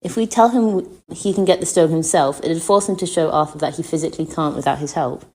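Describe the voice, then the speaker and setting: Low, mellow woman giving her opinion. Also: fairly quick, low-quality recording. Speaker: listener sending voice message. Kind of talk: casual opinion monologue.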